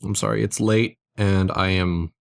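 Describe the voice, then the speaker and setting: A man in his early twenties, tired with a sore throat and slight cold, chatting casually and haltingly as the narrator of a fan audio play. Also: deep voice, fairly even intonation, creaky. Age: early 20s. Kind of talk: casual, rambling outro chat.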